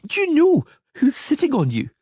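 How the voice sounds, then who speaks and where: A male narrator voicing a headstrong, sniffly young princess who is petulant, demanding and quick to sulk, with a husky, whiny tone. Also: very high voice, wildly swooping pitch, warm tone, dry recording, clean studio sound, pitched above the performer's own voice, full character voice. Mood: bossy. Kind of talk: audiobook character voice.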